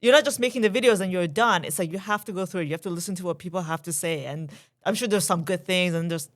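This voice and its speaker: A woman podcast co-host who jokes and chats, often trailing off quietly. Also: lowish voice, fast talker. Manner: joking, chatty, trailing off quietly. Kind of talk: casual interview chat.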